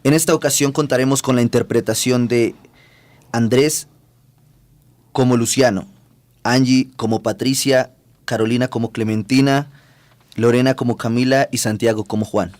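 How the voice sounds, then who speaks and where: A man's voice: mid-range voice, steady tone, moderate pace, pauses often, darkish tone, no room sound. Male announcer for scripted radio drama intro, medium register, very dry recording.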